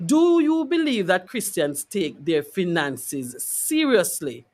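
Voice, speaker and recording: A man's voice: high voice, very animated, takes it slowly, darkish tone. A man hosting a conversational interview, speaking emphatically and repeating key phrases for weight. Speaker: interview host. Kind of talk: conversational faith-based interview.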